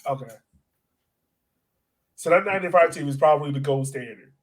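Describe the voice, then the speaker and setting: Male podcast host, fairly high register, loose conversational delivery, question-driven casual sports talk. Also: a little fast.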